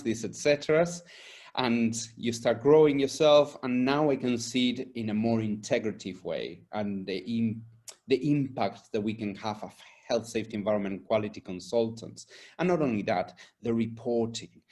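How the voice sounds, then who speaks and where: A man's voice: medium pitch, a little mellow. Earnest man being interviewed. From Argentina and Spain. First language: Spanish. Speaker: environmental and safety consultant being interviewed. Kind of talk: conversational interview answers.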